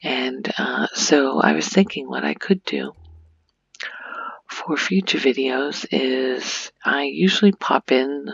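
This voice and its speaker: A woman giving a soft-spoken ASMR game tour, hushed and often slipping into a whisper, breathy and creaky, rambling unhurriedly as she thinks aloud. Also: extremely low pitch, slow pace, no room sound, highs cut off. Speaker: gaming ASMR host.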